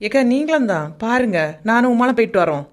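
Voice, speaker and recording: Woman playing a fast-talking cheeky young village woman. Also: a little high, big pitch swings. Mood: teasing, impatient. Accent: Kanyakumari Tamil. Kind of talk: cartoon comedy dialogue.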